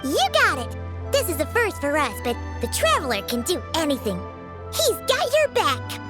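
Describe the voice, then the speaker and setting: A woman voicing a small, excitable, childlike female fairy companion in a video game, squeaky, bubbly and eager. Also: moderate pace. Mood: cheerful, impressed. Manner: bubbly, exclamatory, refers to self by name. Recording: music behind the voice. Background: game music.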